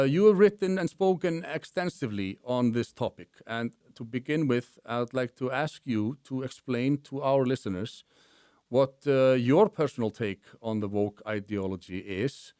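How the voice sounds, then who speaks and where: A man's voice: mid-range voice, darkish tone, clean tone, dry recording, studio quality. A man with a thick Icelandic accent hosting an interview, reading a formal introduction and asking questions with frequent hesitations. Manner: hesitant, many "uh" fillers. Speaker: podcast host.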